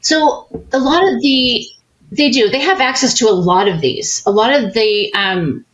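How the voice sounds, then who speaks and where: A woman's voice: a little high, a little slow, a bit bright, lo-fi sound. A woman consultant as a podcast guest, explaining things in a friendly, coach-like way. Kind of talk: casual interview chat.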